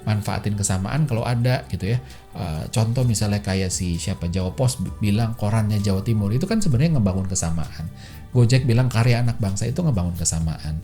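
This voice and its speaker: A man's voice: on the low side, animated, a little fast, mellow, soft background music. A man hosting a solo podcast, talking casually and chattily, with many fillers and quoted voices he acts out. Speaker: podcast host. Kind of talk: casual solo explainer talk.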